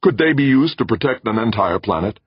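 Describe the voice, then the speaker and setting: A man's voice: deep voice, expressive, moderate pace, slightly rough, no room sound, voice pushed up, heavily acted. Man voicing a tense, snappish human leader. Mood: urgent. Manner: snappish, urgent. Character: tense human leader facing a grave choice. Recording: dull dry recording.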